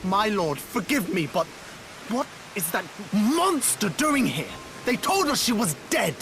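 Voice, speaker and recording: A woman's voice: medium pitch, big pitch swings, unhurried, noisy background. An actress playing a fearful, outraged village woman in a game cutscene, dramatic and accusing. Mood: aghast. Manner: dramatic, aghast. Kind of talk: video game cutscene acting.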